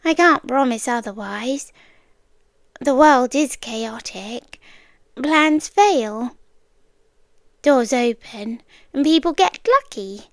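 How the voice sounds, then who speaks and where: Woman acting a slow, high-voiced British yandere girlfriend. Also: lively intonation, creaky, no room sound, exaggerated voice. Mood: calm, possessive. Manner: slow, hushed, deliberate, often slipping into whispers. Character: soft-spoken obsessive yandere girlfriend, gently menacing captor. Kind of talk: intimate ASMR roleplay. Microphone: close microphone, dry.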